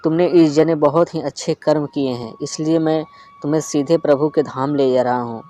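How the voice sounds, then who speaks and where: A man voicing a stern yet kind male god of death who speaks in a calm, instructive way. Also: fairly high pitch, moderate pace, a little hiss or hum, lightly acted. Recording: faint flute music behind.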